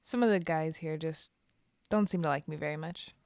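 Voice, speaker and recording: A woman's voice: sits low, moderate pace, a little mellow, no room sound, clean studio sound. A woman playing a guarded, self-doubting young trainee in an audio drama, hesitant and downbeat in naturalistic dialogue. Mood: defensive, reluctant. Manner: hesitant, downbeat, clipped answers.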